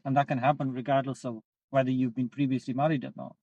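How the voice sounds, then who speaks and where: Man, podcast co-host, mid-pitched, measured and reflective, dry close sound. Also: flat delivery, warm tone, no echo, muffled recording. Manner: thoughtful, measured, with fillers. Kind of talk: casual podcast discussion.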